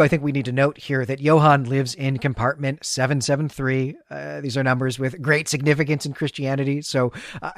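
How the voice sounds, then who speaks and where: A man's voice: on the high side, dry recording, clean studio sound. A man co-hosting a podcast, talking through a story in a conversational recap with chatty asides and tag questions. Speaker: podcast co-host. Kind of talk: conversational literary recap and commentary.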